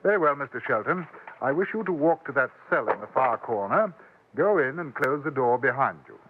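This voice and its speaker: An actor playing a courteous, coolly dangerous English gentleman adventurer in a 1950s radio western, speaking formally with a British accent on an old broadcast recording. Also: mid-range voice, big pitch swings, a little fast, narrow, tinny audio, a little hiss or hum, natural voice. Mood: coolly commanding. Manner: formal, polite, precise. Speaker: lead character and narrator.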